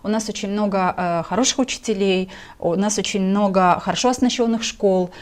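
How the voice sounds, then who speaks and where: A woman's voice: medium pitch, a little fast. A woman from Gagauzia hosting an interview, asking pointed, pressing questions. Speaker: interview host.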